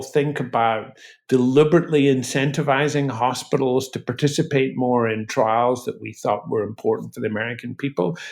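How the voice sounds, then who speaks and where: A man's voice: mid-range voice, vocal fry. A man speaking as an expert guest in an interview, explaining his points in an articulate way with examples. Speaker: guest medical researcher.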